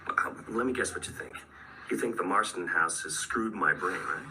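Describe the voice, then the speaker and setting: A man's voice: low voice, moderate pace, sharp tone, slight background noise, heavily acted. Earnest, haunted man, distant recording. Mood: insistent. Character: earnest, haunted male writer recounting vampire horror. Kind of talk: radio drama acting. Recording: distant radio drama playback, background sound.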